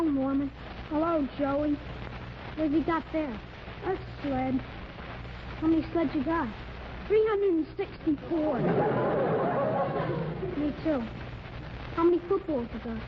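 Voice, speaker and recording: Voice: high-pitched, a little slow, pauses often. A young performer playing a bored, blunt little boy in a 1940s radio comedy. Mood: blasé. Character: wisecracking, bored little boy, spoiled by presents. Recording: noisy, muffled 1940s radio recording.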